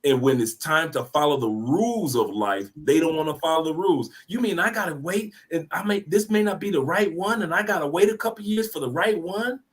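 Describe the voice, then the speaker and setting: A man's voice: on the high side, a little fast, hardly any pauses. A man in his fifties lecturing emphatically in a live discussion, repeating his points for force. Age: 50s. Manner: preachy, emphatic, repeats phrases. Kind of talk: passionate call-in discussion.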